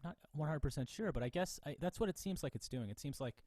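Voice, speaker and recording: A man teaching an informal online class, demonstrating software step by step and thinking aloud in a halting, tentative way. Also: a little high, animated. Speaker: music professor teaching a class. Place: online video class, voice through a microphone. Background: electronic music and synth tones.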